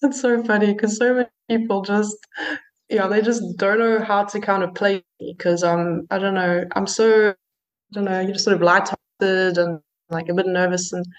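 A woman's voice: mid-range voice, low-quality recording. A woman musician being interviewed, talking in a hesitant way with many fillers and restarts. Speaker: musician guest. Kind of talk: casual interview.

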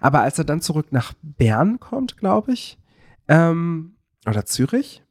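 A man's voice: a little high, sing-song, unhurried, darkish tone, no room sound, studio quality. A man co-hosting a podcast in casual chat, rambling with lots of fillers and self-corrections. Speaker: podcast co-host.

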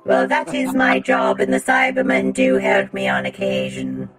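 A performer voicing a prim female robot nanny on a podcast, speaking in a halting, proper, faux-British way. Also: a bass voice, monotone, over loud music. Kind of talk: comic bit on a podcast.

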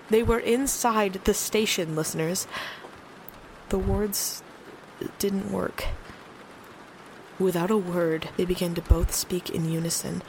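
A woman's voice: on the low side, vocal fry, slight background noise, low-quality recording. A woman playing an uneasy late-night radio host in an audio drama, speaking in a hesitant, wry, conversational way.

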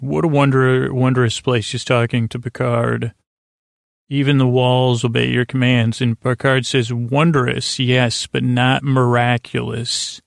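A man with a creaky, fry-edged voice, recorded dry on a close mic, talks listeners toward sleep. His pitch stays fairly even as he wanders, stalls and restarts, reassuring them without any pressure. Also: no echo. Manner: rambling, halting, self-interrupting, trailing into tangents. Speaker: podcast host. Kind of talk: meandering, unscripted bedtime podcast monologue.

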